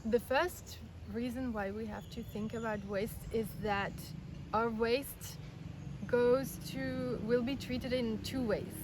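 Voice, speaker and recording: Woman, fairly high voice, earnest and patient, interviewed outdoors with clear noise behind. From Belgium, raised in France. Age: 30s. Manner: earnest, explains step by step with many restarts. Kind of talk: casual explanatory interview answers. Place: outdoors in India.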